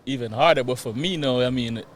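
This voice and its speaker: A man from Jamaica with a Jamaican accent, a guest chatting casually in an interview, relaxed and quick to laugh. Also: medium pitch. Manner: relaxed, laughs often, repeats "yeah" and "you know". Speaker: guest, real estate investor.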